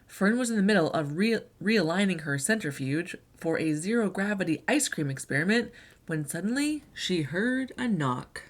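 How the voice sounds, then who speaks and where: A woman reading a children's picture book aloud in an expressive storyteller's delivery. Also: lowish voice, moderate pace.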